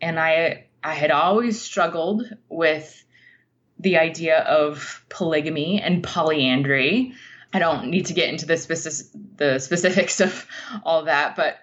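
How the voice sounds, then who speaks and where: A woman giving a reflective, conversational interview as a guest writer, speaking candidly and informally in a halting way over muffled audio. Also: low-pitched, fairly bright tone.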